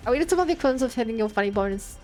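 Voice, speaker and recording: A woman's voice: a little high, expressive, rapid-fire, mellow. Rambling young woman streamer, game music behind. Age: 20s. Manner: rambling, joking, talks to chat. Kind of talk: casual gameplay commentary.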